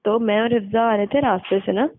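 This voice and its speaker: A woman's voice: medium pitch, a little fast, no echo. A young woman in casual, blunt conversation over a phone line, retelling events and quoting people. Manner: colloquial, blunt, quotes people as she retells. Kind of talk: casual chat, recounting school-days gossip. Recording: narrow dry phone-call recording.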